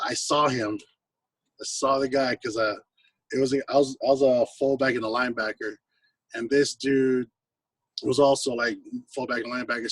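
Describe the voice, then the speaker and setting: A man's voice: medium pitch, slightly rough, no room sound, recorded from a distance, poor audio. An American man from the West Coast and Hawaii, a guest telling anecdotes in casual, laid-back, slangy talk. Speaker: guest, singer-songwriter musician. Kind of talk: casual chat, anecdotal storytelling.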